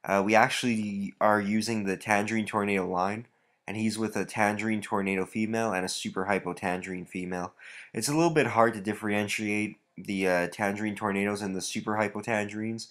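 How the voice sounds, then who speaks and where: A man with a somewhat low voice talks through a stuffy nose. He rambles casually as he walks through his breeding rack, filling pauses with lots of "uh" and "um.". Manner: relaxed, rambling, many fillers. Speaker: reptile breeder. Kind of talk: casual walkthrough vlog.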